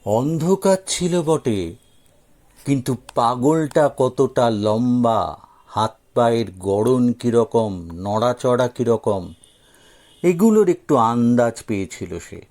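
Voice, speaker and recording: Slow, creaky-voiced male storyteller. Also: mid-range voice, expressive, a little mellow. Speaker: audio story narrator. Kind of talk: expressive scripted story narration.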